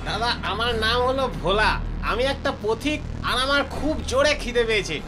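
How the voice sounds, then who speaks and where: Guy doing naive poor traveller, really high voice, quick, pleading, cartoon horror story. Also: expressive, over music. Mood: meek, pleading. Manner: pleading, earnest. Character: humble, hungry, naive male wayfarer. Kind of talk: dramatised animated horror story.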